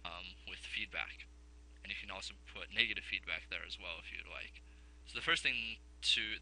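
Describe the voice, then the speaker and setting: A man with a rough, gravelly voice from a cold, casually explaining software as a tutorial host in a loosely phrased way. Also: lowish voice, poor audio. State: has a cold and cough. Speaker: music production tutorial host.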